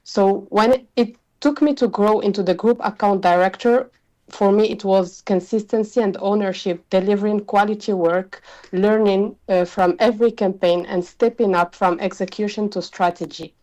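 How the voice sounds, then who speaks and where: A woman's voice: mid-range voice, fairly even intonation, normal speed, darkish tone, muffled recording. A woman from Morocco with a Moroccan accent, answering interview questions as a communications executive.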